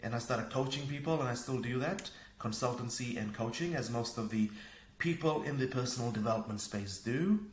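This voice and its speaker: A man from Fiji, a parenting coach and podcast guest, talking in an energetic, upbeat way. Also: medium pitch, a little fast, hardly any pauses, slight background noise. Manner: energetic, upbeat, laughs easily. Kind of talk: casual conversation. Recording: echoey room.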